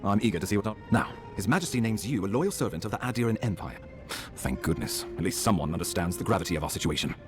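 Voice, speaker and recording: An actor playing a grave, dutiful ambassador of a fantasy empire in a video game, speaking formally. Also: male voice, lowish voice, expressive, quick pace, music in the background, lightly acted. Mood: formal, eager. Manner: formal, stately wording. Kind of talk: dramatic video game dialogue.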